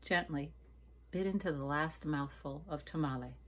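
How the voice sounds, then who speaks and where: Low-pitched woman reading a storybook aloud. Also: fairly bright tone, a little hiss or hum. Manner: dramatic, pausing to ask listeners questions. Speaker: teacher reading aloud to children. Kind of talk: expressive storybook read-aloud.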